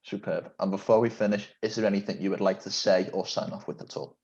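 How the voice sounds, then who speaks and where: A man's voice: deep voice, steady tone, quick pace, a little mellow, lo-fi sound. A man hosting a video-call interview, asking questions in a polite, formal and complimentary way. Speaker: interview host.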